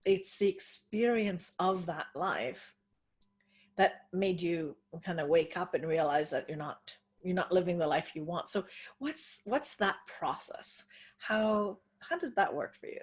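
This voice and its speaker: Breathy woman host, gently probing. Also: on the low side, expressive, a little slow. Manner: hesitant, many ums, gently probing. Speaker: podcast host. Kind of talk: interview conversation.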